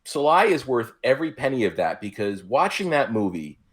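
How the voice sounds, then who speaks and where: A man in his forties co-hosting a casual podcast, explaining his points in a measured, thoughtful way. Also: mid-range voice, big pitch swings. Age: 40s. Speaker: podcast co-host.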